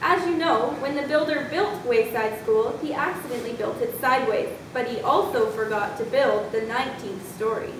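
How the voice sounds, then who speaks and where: A woman's voice: normal speed, few pauses, far from the mic. A woman narrator telling a scripted school story as part of a readers theatre performance. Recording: echoey room from afar, rumbling background noise.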